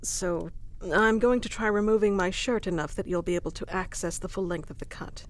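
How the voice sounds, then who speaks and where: A woman's voice: medium pitch, brisk, close to an everyday voice. A woman playing a proud, protective warrior queen in an intimate fantasy audio roleplay, speaking with formal, wry, commanding diction. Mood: wry, strained.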